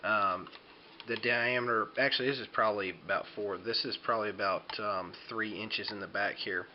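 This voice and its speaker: Low-voiced man, dull lo-fi recording. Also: fairly even intonation, normal speed. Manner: hesitant, rambling explanation. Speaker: DIY tutorial presenter. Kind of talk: unscripted how-to demonstration. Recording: dull lo-fi home video, background noise.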